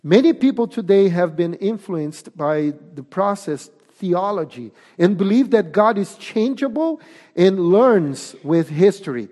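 A man with a Portuguese accent preaching a sermon, speaking deliberately and emphatically with pauses. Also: sits high, animated, warm tone. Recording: long reverb of a hall.

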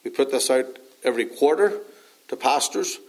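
A man with a Northern Irish accent preaches a sermon at a middle pitch for a man, earnest and unhurried. He pauses often and fills the gaps with small hesitations. A dry cough leaves his voice slightly hoarse. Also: animated, darkish tone, low-quality recording. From Northern Ireland. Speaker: pastor.

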